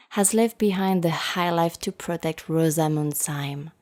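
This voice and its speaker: A woman's voice: lowish voice, creaky, dark tone. A woman playing the sultry narrator of a noir radio drama serial. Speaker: narrator introducing an episode of a serial.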